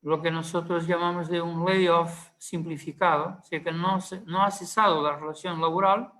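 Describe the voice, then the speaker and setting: A man's voice: a little high, level tone, normal speed, sharp tone, rough recording. A man speaking Spanish with a Portuguese accent, a panelist giving a conference talk, hesitant with many fillers and restarts.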